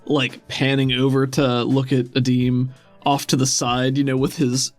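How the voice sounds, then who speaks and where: A man's voice: mid-range voice, monotone, unhurried. Man explaining game rules over faint music. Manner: conversational, explanatory. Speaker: game master.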